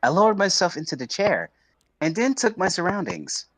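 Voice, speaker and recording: Animated man, dry close-up sound. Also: high-pitched, moderate pace, no echo, poor audio. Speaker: streamer reading a visual novel aloud. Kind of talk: casual stream chat and narration.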